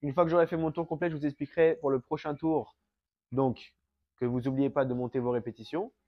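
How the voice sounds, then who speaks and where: Un coach sportif français enrhumé qui explique pas à pas un circuit d'entraînement à la maison, en parlant directement aux spectateurs et en s'essoufflant vite. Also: male voice, a little high, fairly even intonation, brisk, dry recording, lo-fi sound. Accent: French. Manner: explains step by step, addresses viewers directly. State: has a cold, breathes through the mouth, gets out of breath fast. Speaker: fitness coach. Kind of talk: home workout instruction. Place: fitness studio.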